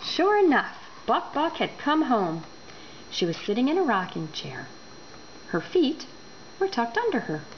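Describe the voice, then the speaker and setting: A woman reading a children's book aloud indoors, expressive and deliberate. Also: on the high side. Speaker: storyteller. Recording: dull home recording with background noise.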